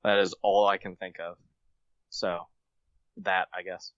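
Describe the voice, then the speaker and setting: A man's voice: low-pitched, little pitch movement, a little slow, a bit bright, no room sound. A man bantering casually as a guest on a group podcast, recorded with slightly muffled sound. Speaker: podcast guest, fan fiction author.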